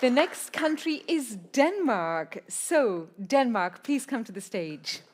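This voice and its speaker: A woman's voice: on the high side, very animated, slow. A woman hosting a live award ceremony, announcing delegations in an upbeat, chatty way with small laughs. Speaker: ceremony host. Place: large hall with audience. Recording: live event audio with faint crowd and noise.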